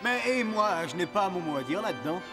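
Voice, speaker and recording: A cheerful fairy-tale minstrel, with a fairly high voice that bounces all over. He sounds teasing and gallant in a playful cartoon way. Loud music plays underneath. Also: big pitch swings, fairly quick. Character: cheerful, teasing minstrel, newly wed to a princess. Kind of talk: dubbed children's fairy-tale cartoon acting.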